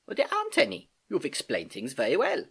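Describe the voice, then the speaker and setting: A drowsy, kindly storybook uncle, voiced by the narrator. He speaks huskily with big swoops of pitch, relaxed and hesitant, and enjoys teasing as he teaches. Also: a little fast, vocal fry, breathy edge, heavily acted. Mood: encouraging.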